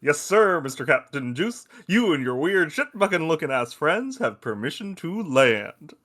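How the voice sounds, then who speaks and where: A man's voice: a little high, unhurried, exaggerated voice. A man playing a crude, teasing space probe who loves football and shows off as he explains, in a table-read audio drama. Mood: playful. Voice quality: animated, swooping delivery. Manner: foul-mouthed, teasing, showy explainer.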